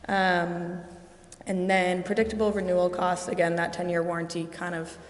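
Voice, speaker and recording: A woman representing a vendor gives a sales presentation to a city council, walking through her slides in a steady, explanatory way with frequent ums. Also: on the low side, normal speed. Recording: echoey, reverberant council chamber with faint noise.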